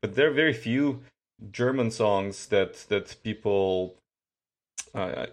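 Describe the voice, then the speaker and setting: A man's voice: on the low side, slow. A man hosting an interview, talking casually in a chatty way and thinking aloud with many asides.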